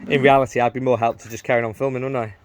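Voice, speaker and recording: Croaky-voiced man in a workshop. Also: medium pitch, level tone, very fast. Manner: chatty, jokey, asks lots of questions. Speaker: vlogger filming a van build. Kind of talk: casual workshop chat. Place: garage workshop.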